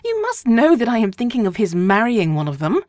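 A woman voicing an excitable, gossiping middle-aged mother of five who is fussy and insistent. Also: on the high side, a little fast, no room sound, clean studio sound, voice pushed up. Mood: pleading.

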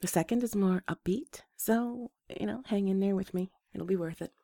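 A woman with a rough voice, hoarse from a flu, hosting a gentle and reassuring advice talk to her listeners. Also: medium pitch, normal speed, no echo, clean studio sound. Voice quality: rough, slightly congested voice. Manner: gentle, reassuring, restarts phrases. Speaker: advice show host.

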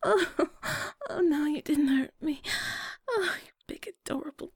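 A woman in an intimate audio roleplay, speaking close to the mic in soft, breathy whispers and sighs. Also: high voice, a little slow, dry recording.

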